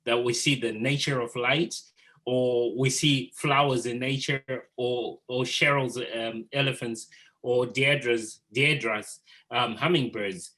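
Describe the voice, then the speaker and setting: A man's voice: medium pitch, fairly even intonation, takes it slowly, pushed and bright. A man hosting an informal group art discussion, speaking earnestly and affirmingly as he moderates. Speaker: host of an artist talk.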